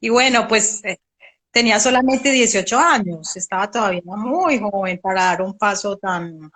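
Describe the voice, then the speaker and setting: A middle-aged woman from Colombia, a guest in a casual interview, telling a story in a relaxed way. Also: medium pitch, moderate pace.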